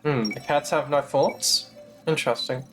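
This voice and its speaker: A man's voice: mid-range voice, normal speed, over music, poor audio. Chatty man streaming a game. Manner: chatty, talks back to game characters. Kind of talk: casual gameplay commentary.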